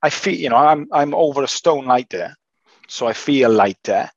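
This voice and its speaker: A man with a croaky, raspy voice after illness, co-hosting a casual chat and often repeating words while he thinks. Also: medium pitch, lively intonation, normal speed, no echo, muffled recording. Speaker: podcast co-host.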